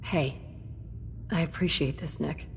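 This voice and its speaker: A woman's voice: low-pitched, fairly even intonation, unhurried, gravelly, slight background noise. An actress playing an earnest, determined mother in a video game, with a direct delivery. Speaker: player protagonist, searching parent.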